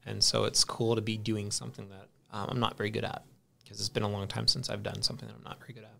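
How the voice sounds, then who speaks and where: A man's voice: on the low side, flat delivery, fast talker, vocal fry, pushed and bright. A man in his thirties, a podcast guest, chatting casually in an easygoing, rambling way and laughing at himself. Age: 30s. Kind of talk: casual chat.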